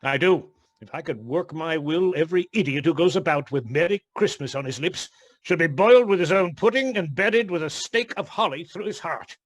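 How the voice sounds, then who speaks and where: A man's voice: fairly high pitch, moderate pace, no room sound, natural voice. An actor playing a grouchy, miserly old man in a theatrical, emphatic radio-play style. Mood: scornful.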